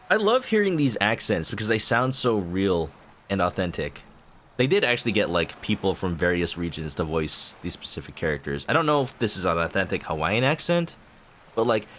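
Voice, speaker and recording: A man's voice: lowish voice, fairly bright tone, a little hiss or hum. A young man streaming a video game, making casual, joking commentary as he plays. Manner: chatty, joking. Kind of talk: casual let's-play commentary. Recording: voice over game music.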